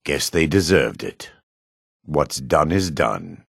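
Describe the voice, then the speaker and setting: An actor playing a gruff, proud male dark elf in a fantasy video game, with a rasping voice and theatrical delivery. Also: extremely low pitch, big pitch swings, slow pace, no echo, studio quality, exaggerated voice. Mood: grim, resigned. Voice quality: gravelly, rasping.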